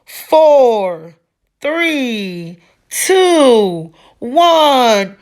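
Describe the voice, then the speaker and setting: A big, booming race announcer from a picture book, put on by a woman. The calls are loud, raised in pitch and slowly stretched out. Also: very animated, exaggerated voice. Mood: rousing.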